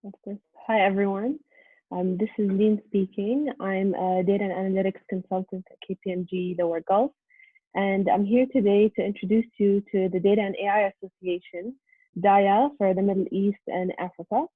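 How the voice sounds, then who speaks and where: A woman giving a prepared, earnest presentation over a video call, steady with frequent um fillers. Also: medium pitch, moderate pace, slightly rough, no echo. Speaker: conference presenter. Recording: tinny phone-like line.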